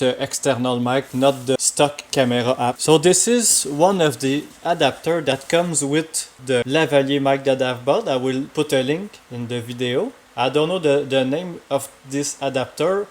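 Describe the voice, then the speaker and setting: A man with a French accent speaking halting non-native English, casually demonstrating gear as a vlogger. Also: medium pitch. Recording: clearly noisy amateur recording with clicks.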